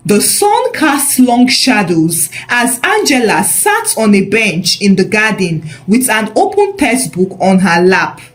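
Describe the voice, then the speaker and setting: A woman narrating a scripted story in a measured, expressive, dramatic way. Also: medium pitch.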